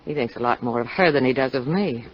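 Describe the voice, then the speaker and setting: A woman's voice: a bass voice, fast, breathy edge. A dull, lo-fi vintage radio recording carries a scheming, discontented wife. She speaks in a coaxing, wounded manner, with the seductive pleading of fifties radio drama. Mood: bitter, confiding. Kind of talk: 1950s radio drama acting. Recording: dull, lo-fi 1950 radio broadcast.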